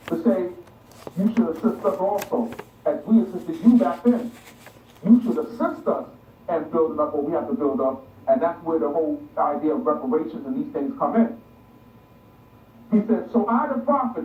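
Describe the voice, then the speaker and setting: A man's voice: high voice, muffled recording. A man giving an impassioned religious lecture, insistent and repeating phrases for emphasis, in a roomy, distant recording with some noise.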